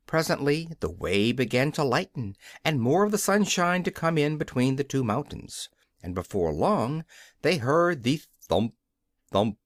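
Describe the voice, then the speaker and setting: A man reading a children's storybook aloud as scripted narration, in an expressive storytelling manner. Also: mid-range voice, no echo, studio quality. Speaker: audiobook reader.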